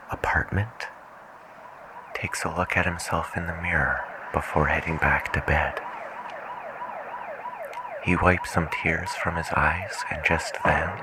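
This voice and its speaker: A man speaking softly and half-whispering in an unhurried, musing way, as a late-night radio DJ in an ASMR roleplay. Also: extremely low pitch, noisy background.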